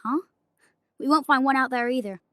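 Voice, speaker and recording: A woman's voice: sits high, moderate pace, fairly bright tone, dry recording, studio quality. A woman voicing an innocent, polite young boy hero with an American accent in a fantasy game. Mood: doubtful. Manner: naive, questioning, earnest. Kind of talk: animated fantasy game voice acting.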